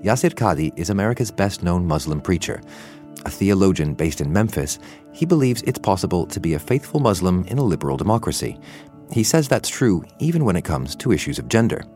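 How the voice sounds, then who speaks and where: A man's voice: low-pitched, big pitch swings, brisk, a little mellow, soft background music. A man narrating a scripted news report as a podcast journalist.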